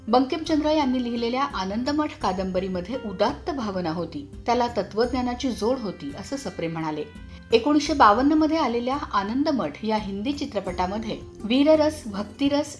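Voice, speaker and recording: A woman radio newsreader giving a formal, measured scripted news report. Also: a little high.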